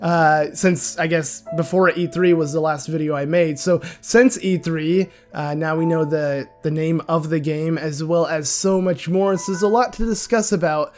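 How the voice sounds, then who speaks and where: A young man with a sick, raspy voice that is nearly gone talks casually through a gaming discussion. His pitch sits a little high for a man. He rambles, with plenty of fillers and self-corrections, and soft music plays faintly behind him. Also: fairly even intonation, normal speed, few pauses. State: hoarse, voice nearly gone from illness. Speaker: video game channel host.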